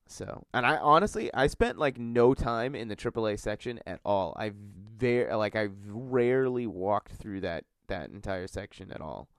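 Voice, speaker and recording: A congested man with a low, smooth voice, talking in a loose, rambling podcast chat. He fills his sentences with likes and ums. The sound is dry and close, with no room echo. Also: expressive, moderate pace, hardly any pauses, fairly bright tone. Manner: rambling, filler-heavy storytelling. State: congested from a cold. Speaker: podcast host.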